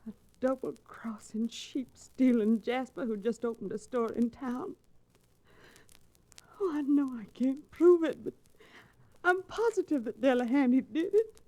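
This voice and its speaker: An actress playing a bitter, spirited rancher's young daughter in an old-time radio western, dramatic and sharp-tongued. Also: female voice, high-pitched, lively intonation, a little slow, exaggerated voice, low-quality recording. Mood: grieving, bitter. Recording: restored vintage radio drama recording.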